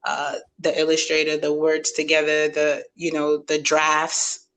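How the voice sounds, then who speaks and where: A woman's voice: sits low, monotone, measured pace, fairly bright tone. A woman hosting a podcast interview, chatty and laughing often, acting out quoted reactions in casual talk. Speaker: podcast host.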